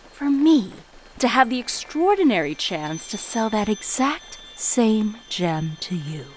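A woman's voice: mid-range voice, slight background noise, poor audio. A woman playing a sly female gem seller in an audio drama, with theatrical, coaxing sales patter.

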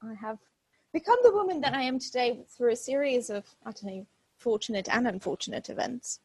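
Woman on a podcast, husky fry, dry close sound, lots of ums. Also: fairly high pitch, lively intonation, a little fast, darkish tone, dry recording, rough recording. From Ukraine. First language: Russian. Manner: thoughtful, hesitant with many ums. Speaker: podcast guest. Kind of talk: casual interview chat.

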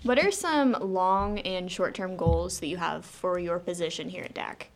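A woman interviewing in a casual chat, agreeable and reading questions at an even pace. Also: on the low side, unhurried. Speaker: student interviewer.

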